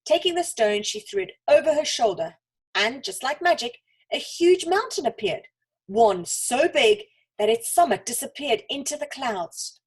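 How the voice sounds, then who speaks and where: A woman reads a children's story expressively, with dramatic pauses and deliberate emphasis. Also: high-pitched, very animated, rough recording.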